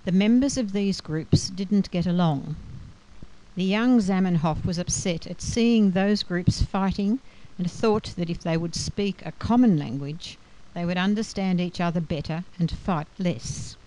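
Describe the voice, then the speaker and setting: Mellow, lower-pitched woman lecturer. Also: measured pace, low-quality recording. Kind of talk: scripted educational narration.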